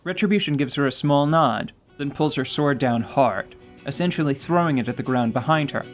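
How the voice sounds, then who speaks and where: A man's voice: fairly high pitch, normal speed. A man giving dramatic, scripted narration for a fantasy radio play. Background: music.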